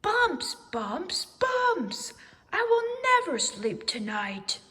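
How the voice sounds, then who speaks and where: Woman voicing an excitable, anxious storybook owl. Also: very high voice, extremely slow, in a large hall, pitched above the performer's own voice, full character voice. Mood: frantic shouting. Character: anxious, indignant male owl, easily worked up. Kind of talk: children's storybook read-aloud.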